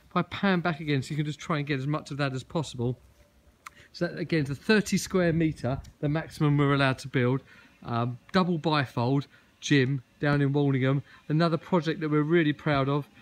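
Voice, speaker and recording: A man giving a casual, enthusiastic walkaround tour of a garden gym he built, filmed outdoors on a handheld phone, with frequent ums and uhs. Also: a little high. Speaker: garden building company representative. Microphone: phone camera held while walking.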